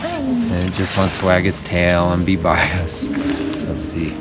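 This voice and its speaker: A man's voice: low voice, huge pitch swings, slow pace. A man hosting a travel vlog, talking casually and reflectively to camera. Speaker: travel vlog host, nonprofit representative. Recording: clear background ambient noise.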